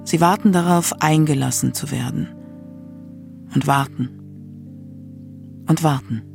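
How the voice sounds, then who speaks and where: A man narrating a scripted radio documentary in a measured, solemn manner. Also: fairly high pitch, gravelly, a little mellow, faint music behind.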